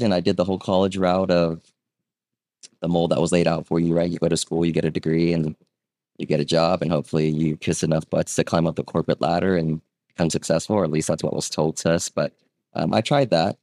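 This is a man in his thirties with a very deep voice, talking as a guest on a casual podcast. He tells his story in a loose, chatty way, peppered with "um" and "right?", and acts out the people he quotes. The recording is dry, with no room echo. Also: darkish tone. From Vietnam. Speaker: guest, real estate agent.

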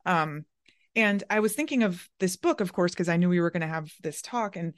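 A woman's voice: on the low side, brisk, no room sound, studio quality. A woman hosting an interview in a casual, thoughtful conversational style. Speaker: interviewer, podcast host.

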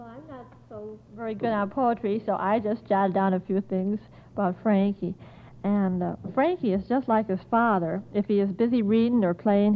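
A mid-pitched woman talks plainly as a quiz contestant, unrehearsed. The sound is a very thin, phone-like old radio recording. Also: a little hiss or hum. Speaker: contestant, mother of a quiz kid.

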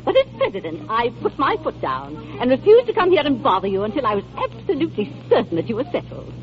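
Fast-talking, pompous society matron. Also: female voice, fairly high pitch, wildly swooping pitch. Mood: gushing, self-important. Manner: gushing, pompous, scolding. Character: snobbish, pompous, bossy society clubwoman, a grandmother. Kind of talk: 1940s radio acting. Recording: dull old radio recording.

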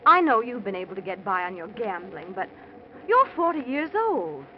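An actress playing a prim, practical wealthy wife in a 1940s radio drama, earnest and disapproving. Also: female voice, mid-range voice, big pitch swings, moderate pace, close to an everyday voice. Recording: noisy muffled old radio recording.

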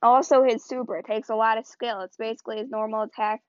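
A woman's voice: fairly high pitch, fairly even intonation, quick pace, a little mellow, dry recording. A woman hosting a podcast in casual, rambling talk, her voice tired and strained. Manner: rambling, loose and chatty. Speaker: podcast host. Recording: dull lo-fi, dry sound.

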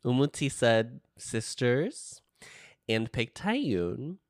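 A man's voice: medium pitch, big pitch swings, very slow, dry recording, clean studio sound. A man co-hosting a casual reaction chat, talking playfully with dramatic asides. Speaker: podcast co-host.

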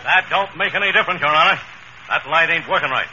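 Plain spoken cop, old radio comedy, talks fast, muffled hissy recording. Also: male voice, on the high side, bright, forceful tone. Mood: insistent. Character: plain-spoken, insistent motorcycle policeman giving testimony. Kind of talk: 1930s radio comedy acting.

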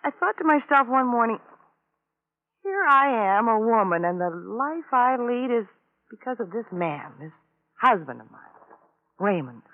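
A drained, detached widow with a high, halting voice on tinny vintage radio. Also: female voice, sing-song, heavily acted. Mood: numb, bitter. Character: small, pale, weary, emotionally numb widow. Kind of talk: old-time radio drama acting. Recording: tinny, narrow-band vintage radio recording.

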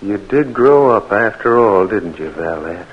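An actor playing a tender, resolute young Southern soldier in a 1940s radio drama. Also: male voice, lowish voice, lively intonation, unhurried. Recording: muffled old radio recording.

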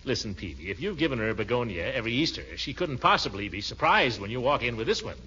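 An actor playing a pompous, excitable middle-aged bachelor in 1940s radio comedy, blustery and given to chuckling. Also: male voice, medium pitch, fast talker, husky, exaggerated voice. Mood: hearty, amused. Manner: blustery, stammering starts, chuckling. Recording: muffled, noisy old radio recording.

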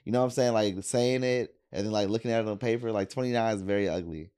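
A young man in his twenties with a lowish voice, chatting casually on a podcast. He rambles through slang and fillers in a relaxed, loose way. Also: quick pace, clear, resonant tone. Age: 20s. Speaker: podcast host. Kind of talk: casual chat.

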